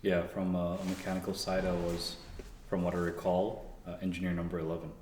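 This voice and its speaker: Young male guest, deep monotone, loose chatty answers full of restarts; roomy echo with faint background hum. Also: fairly quick. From Canada. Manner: relaxed, rambling with frequent fillers and restarts. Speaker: guest, engineer. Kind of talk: casual interview conversation. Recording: echoey room.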